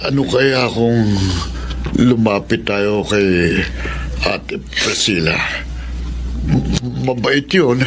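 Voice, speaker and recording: A man playing a frail, gentle middle-aged father weakened by a stroke in a Filipino radio drama, stammering and halting as he talks. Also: on the low side. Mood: regretful, hesitant.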